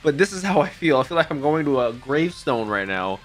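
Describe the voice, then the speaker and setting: A young man reacting out loud to an anime episode, exclaiming and swearing casually into a boom mic in a home streaming room. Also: very animated, brisk. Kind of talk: excited reaction commentary. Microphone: boom mic with headphones. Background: anime soundtrack playing.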